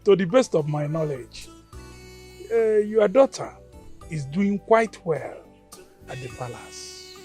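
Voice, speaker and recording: A man playing a slow calm village elder. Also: high voice. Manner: slow, soothing, unhurried. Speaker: village elder consulted by a mother.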